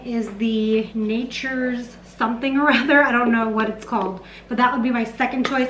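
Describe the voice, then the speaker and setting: A woman in her late thirties chatting casually as a lifestyle vlogger while she works in her kitchen, picked up by a camera on the counter. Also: on the high side. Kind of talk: casual vlog chat while cooking, answering viewer questions. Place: home kitchen, indoors. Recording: echoey kitchen with clear background noise.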